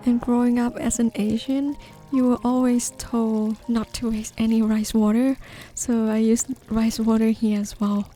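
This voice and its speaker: Woman, mellow tone, fairly high pitch, measured explanatory narration, faint background music. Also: flat delivery. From northeastern Thailand. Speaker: gardening vlogger. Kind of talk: calm scripted voice-over narration.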